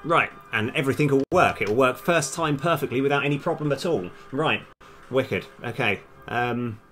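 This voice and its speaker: A man's voice: mid-range voice, moderate pace, a bit bright. A man live-streaming as a game developer, casually thinking aloud and muttering bits of code to himself in fragments. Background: background music, computer keyboard typing.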